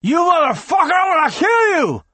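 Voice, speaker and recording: Brief: a man playing a big threatening man, slurred and shouting. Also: very high voice, huge pitch swings, moderate pace, no echo, pitched above the performer's own voice, heavily acted. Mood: angry. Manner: growling, mushy, confrontational. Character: big angry man with mushy diction.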